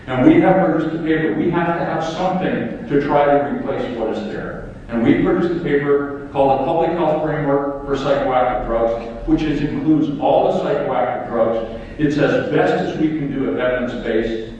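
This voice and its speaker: A man's voice: medium pitch, normal speed, darkish tone, a little hiss or hum. Older man speaking at a conference, emphatic and rallying, firing off rhetorical questions. His voice reaches the mic from far across a big echoing hall with a long tail. The sound is dull and a little muffled. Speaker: public health official giving a conference talk. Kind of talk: impassioned conference speech. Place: large lecture hall.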